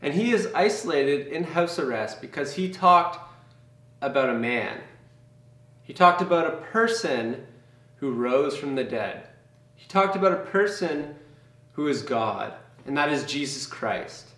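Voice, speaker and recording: A man's voice: fairly high pitch, animated, a little slow, a bit bright, far from the mic. Earnest young man teaching in an echoey room. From Canada. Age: young adult. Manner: earnest, conversational, with frequent fillers and restarts. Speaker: campus ministry leader. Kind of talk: informal Bible teaching to camera. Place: small room with brick wall and bookshelves, indoors. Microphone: camera mic from a few feet away. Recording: echoey room, voice set back from the mic.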